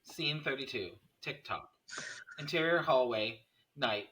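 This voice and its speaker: A man's voice: medium pitch, animated, a little slow, sharp tone, a little hiss or hum, poor audio. A man reading the stage directions of a horror screenplay as scripted narration.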